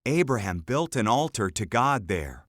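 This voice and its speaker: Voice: medium pitch, big pitch swings, moderate pace, noisy background. A narrator reading a scripted children's Bible story.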